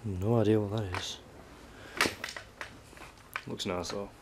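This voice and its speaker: A very low-pitched man talks casually as he explores, dropping understated, murmured remarks like a vlogger. The handheld camera audio carries clear noise and small clicks. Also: steady tone, takes it slowly. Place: inside a derelict house. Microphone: handheld camera microphone.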